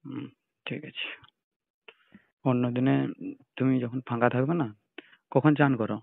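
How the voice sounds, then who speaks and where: A man chats casually and privately over the phone. His mid-pitched voice comes through a tinny, narrow line with no room echo. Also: fairly even intonation, a little slow, creaky. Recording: tinny, narrow-band, dry phone call recording.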